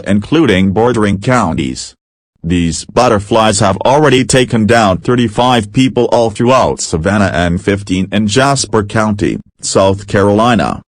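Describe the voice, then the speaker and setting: Serious local news anchor, acted by a man; slightly low voice, close dry sound, scripted report. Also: dry recording, close to an everyday voice.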